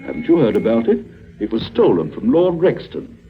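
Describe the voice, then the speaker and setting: An actor playing a big, solid police inspector with an English accent, politely needling and suspicious, in a 1950s radio drama. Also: male voice, medium pitch, huge pitch swings, fairly quick, soft background music. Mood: polite, insinuating. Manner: polite, wryly insinuating. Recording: muffled vintage 1950s radio recording.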